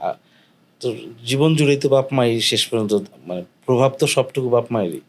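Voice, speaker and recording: A man's voice: mid-range voice, lively intonation, fast, slight background noise. A man hosting a casual interview, feeling his way through his remarks. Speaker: podcast host.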